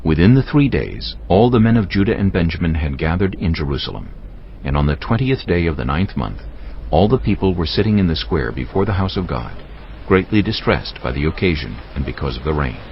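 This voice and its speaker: A man narrating scripture from a script in a measured, solemn way, as in a dramatized audiobook. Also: sits low, very animated, slight background noise. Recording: dull lo-fi audio, music behind. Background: clear background music.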